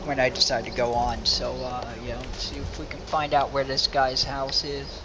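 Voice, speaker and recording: A man's voice: mid-range voice, completely flat delivery, moderate pace, noisy background. A man casually commentating over a video game as he live streams.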